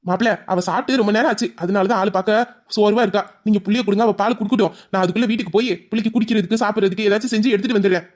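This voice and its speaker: A woman's voice: mid-range voice, rapid-fire, darkish tone, close to an everyday voice. A woman acting a caring, slightly hesitant elder brother in a family audio drama. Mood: concerned. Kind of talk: melodramatic Tamil audio drama.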